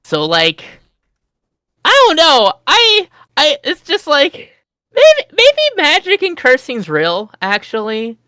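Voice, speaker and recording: A woman telling a rambling story on an online call, excitable and jumping up in pitch. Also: takes it slowly, no room sound, studio quality. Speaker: group chat participant. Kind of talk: casual storytelling chat.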